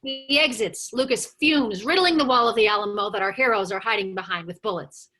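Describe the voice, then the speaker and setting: A woman narrates a script at a table read in a bright, forceful voice of middle pitch. She reads the stage directions as animated storytelling. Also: fairly quick.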